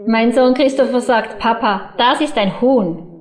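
Casting: female interpreter with a high-pitched voice, giving consecutive translation in short phrases over faint music. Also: unhurried.

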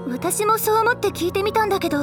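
A gentle teenage schoolgirl tells an old friend's story in a soft, earnest way. Her voice sits very high, and music plays clearly behind her in this anime drama CD. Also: fast talker. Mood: worried.

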